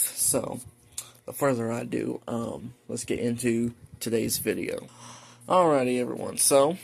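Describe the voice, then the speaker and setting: A man hosting a hobby video, giving a casual, halting, informal show-and-tell narration. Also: medium pitch, creaky, a little mellow. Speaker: video host, radio collector.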